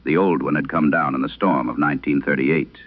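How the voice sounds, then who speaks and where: A man's voice: very deep voice, fairly even intonation, fairly quick. A man voicing a sober farm mother who earnestly and precisely recounts a frightening night in a radio horror monologue. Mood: haunted, tense. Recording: muffled old radio broadcast sound.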